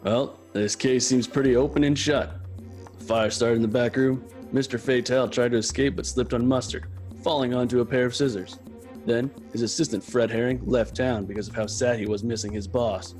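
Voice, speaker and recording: A deadpan noir detective, acted by a man with a middle-pitched voice and clipped delivery. He is naive and earnest, a radio spoof gumshoe with music underneath. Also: normal speed, lightly acted. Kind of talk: radio drama comedy acting.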